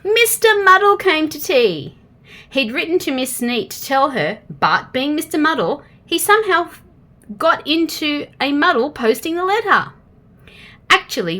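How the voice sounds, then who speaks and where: Lively, bright-voiced Australian woman reading a storybook. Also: sits high. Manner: expressive, asks the listener questions. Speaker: storytime reader for young children. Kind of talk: children's storybook read-aloud.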